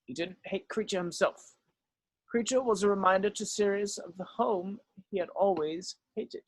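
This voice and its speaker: Man playing a grave old wizard headmaster, high-pitched. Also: no room sound, low-quality recording. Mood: regretful. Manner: measured, solemn, explanatory. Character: grave, weary old male wizard headmaster, gently remorseful. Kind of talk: dramatic script read-through.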